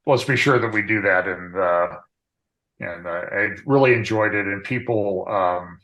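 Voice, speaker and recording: A man talking as a guest in a relaxed, plainspoken way. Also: on the low side, measured pace, low-quality recording. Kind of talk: casual conversation.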